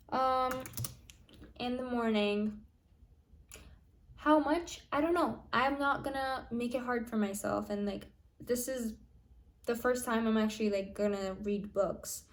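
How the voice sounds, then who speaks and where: A young woman in her late teens talks straight to her viewers, chatty and loose. Her voice sits fairly high. She stops and restarts often and fills gaps with "like" and "um". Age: young adult. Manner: chatty, many fillers and restarts. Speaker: vlogger. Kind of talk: casual planning vlog.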